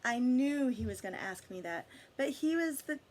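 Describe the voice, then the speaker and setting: A woman with a fairly high voice reads a children's story aloud in an expressive way. She addresses young listeners directly, with questions and guidance. There is noticeable noise in the recording. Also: moderate pace. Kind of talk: expressive picture-book read-aloud.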